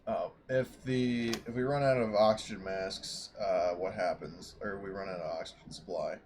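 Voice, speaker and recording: A man's voice: low voice, fairly even intonation, moderate pace, darkish tone. A man chatting casually as a player at a tabletop roleplaying game. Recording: clearly noisy voice track.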